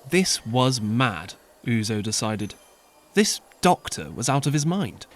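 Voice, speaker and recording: A man narrating an audio drama in an expressive, lively storytelling style. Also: medium pitch, slow pace.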